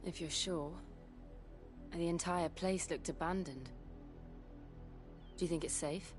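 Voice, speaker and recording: A cautious travelling companion in a video game. Her voice is low, her delivery composed and thoughtful, and music plays clearly behind her. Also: slow. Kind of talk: video game cutscene acting.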